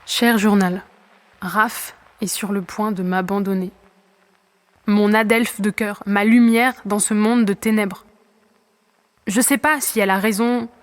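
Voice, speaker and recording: A woman's voice: mid-range voice, fairly even intonation, unhurried, no echo, lightly acted. A woman playing an embattled, doubtful young athlete in a French audio drama, earnest and colloquial. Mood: melancholy, reflective. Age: young adult. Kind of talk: naturalistic French audio fiction acting.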